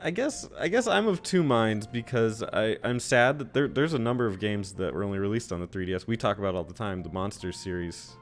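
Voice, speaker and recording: A man's voice: on the low side, expressive, brisk, few pauses, faint music behind. A man in his thirties co-hosting a gaming podcast, chatting casually, laughing easily and poking fun at himself. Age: 30s. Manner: laughs easily, self-deprecating. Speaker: podcast co-host. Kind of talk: casual chat.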